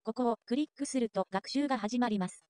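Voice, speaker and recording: A woman reading scripted narration for a software tutorial. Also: fairly high pitch, a little fast, no room sound, clean studio sound.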